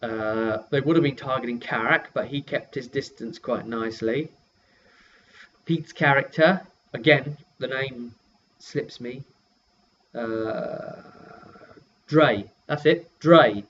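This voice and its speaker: A man giving a casual, rambling recap as a game master and video host, halting and often correcting himself mid-sentence. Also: mid-range voice, a bit bright. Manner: halting, self-correcting delivery. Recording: dull lo-fi sound with faint noise.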